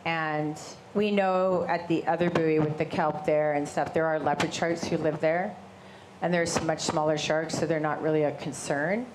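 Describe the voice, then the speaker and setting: A woman with a big voice telling her story to a live audience, animated and gesturing as she talks. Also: deep voice, moderate pace, background noise. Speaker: shark attack survivor and guest speaker. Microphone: handheld microphone.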